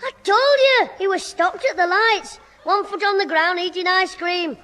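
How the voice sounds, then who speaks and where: A man's voice: very high-pitched, moderate pace, sharp tone. A man playing a cheeky, mischievous Northern English schoolboy who wisecracks his way through a 1960s radio sitcom. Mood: cheeky, gleeful. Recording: old hissy, reverberant 1960s radio recording.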